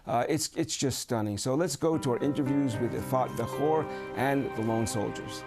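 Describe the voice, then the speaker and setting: A man's voice: medium pitch, moderate pace, over music. A middle-aged man speaking earnestly as a television host and interviewer.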